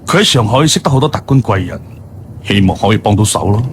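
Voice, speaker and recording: A man's voice: fairly low pitch, very animated, fast talker, slight background noise. An actor playing a worldly, doting middle-aged businessman father in a radio drama. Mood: grave, hopeful. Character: worldly, open-minded businessman father, doting on his daughter. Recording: studio radio drama with music bed.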